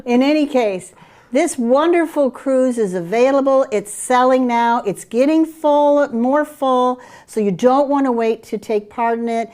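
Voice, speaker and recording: A middle-aged woman from Curaçao with a Dutch Caribbean accent, presenting group trips in an eager sales pitch. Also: measured pace, darkish tone. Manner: enthusiastic sales pitch with fillers. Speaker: travel program presenter. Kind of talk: promotional chat about group tours.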